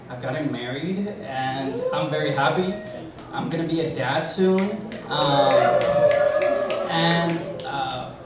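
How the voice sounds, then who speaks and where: A young man born in Mexico whose first language is Spanish, telling a personal story as a high school teacher at a live event, pausing often with fillers. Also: noisy background. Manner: hesitant, many pauses and fillers, mixes in Spanish. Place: event hall. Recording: echoey hall, mic far off.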